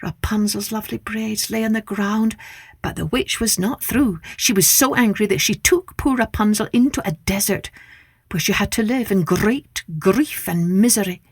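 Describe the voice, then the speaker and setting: A woman narrating a scripted children's story as a podcast host, with expressive, deliberate delivery. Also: medium pitch, breathy edge, no echo, clean studio sound.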